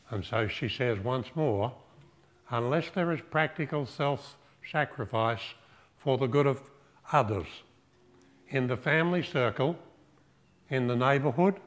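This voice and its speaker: An older man preaching a sermon in a small church hall, measured and reflective, posing rhetorical questions and listing virtues one by one. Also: mid-range voice. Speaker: pastor. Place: small church hall with drum kit, indoors.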